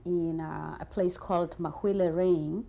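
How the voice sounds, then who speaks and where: A woman's voice: fairly low pitch, steady tone, unhurried, vocal fry, dark tone. A woman from Africa, a Sotho speaker who talks in an articulate, thoughtful way with small fillers. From South Africa. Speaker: librarian, interview guest.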